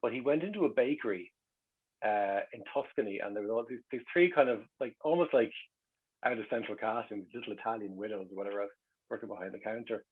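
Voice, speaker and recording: A man's voice: lowish voice, quick pace, husky, phone-quality sound. A middle-aged man with an Irish accent telling anecdotes in a casual, conversational way. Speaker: guest.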